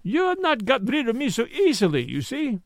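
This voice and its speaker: A man's voice: very high voice, wildly swooping pitch, normal speed, no room sound, studio quality, voice pushed up, exaggerated voice. A man voicing a fussy, self-satisfied Belgian detective in an audiobook, speaking with a put-on French accent in a precise, mannered way. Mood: smug, teasing. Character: fussy, smug middle-aged male Belgian detective. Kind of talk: audiobook character voice.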